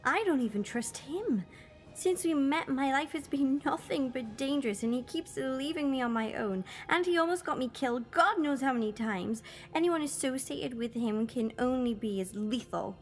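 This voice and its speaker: A feisty young captive in an audio drama, played by a woman. She has a very high voice, wary and sceptical, with soft music underneath. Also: animated, normal speed. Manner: questioning, plucky, sceptical.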